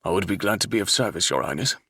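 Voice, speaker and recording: A man voicing a gruff, wary older ship captain who growls his words. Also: sits low, animated, very fast, bright, forceful tone, dry recording, clean studio sound, heavily acted.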